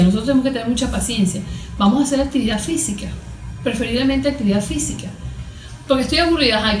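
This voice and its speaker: A Venezuelan woman explaining things as a guest therapist in a conversational interview, often voicing little imagined examples. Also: fairly high pitch. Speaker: guest expert, cognitive therapist. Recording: echoey, roomy sound.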